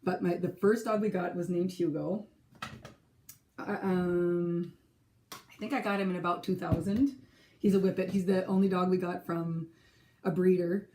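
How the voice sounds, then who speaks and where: Chatty woman with a mellow, low voice. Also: flat delivery, moderate pace, pauses often, a little hiss or hum. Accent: Minnesotan. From California. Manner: rambling, self-correcting, giggly. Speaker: second grade teacher and stitching vlogger. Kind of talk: casual chatty vlog.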